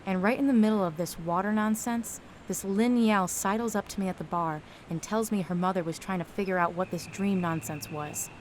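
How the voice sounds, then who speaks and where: An actress playing a hard-drinking, world-weary private eye in a noir audio drama, terse and wry. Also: female voice, medium pitch, brisk, hardly any pauses, slight background noise, natural voice. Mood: wry, guarded.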